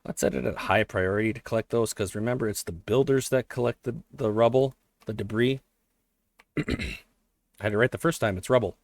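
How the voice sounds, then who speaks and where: A man thinking aloud while he plays a strategy game, his voice a little hoarse, often correcting himself as he goes. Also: lowish voice, no room sound. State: voice slightly hoarse. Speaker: let's play host. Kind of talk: casual gameplay commentary.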